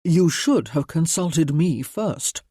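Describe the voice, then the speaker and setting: A man voicing a courteous, composed gentleman lord in a storybook reading. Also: mid-range voice, animated, takes it slowly, breathy edge, no echo, clean studio sound. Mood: calm, measured.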